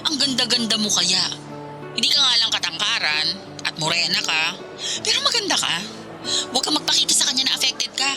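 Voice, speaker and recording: A woman's voice: fairly high pitch, very animated, a little fast, music in the background. A woman playing a blunt, supportive older female confidante with a Filipino accent in a radio drama. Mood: blunt, encouraging. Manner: scolding yet encouraging.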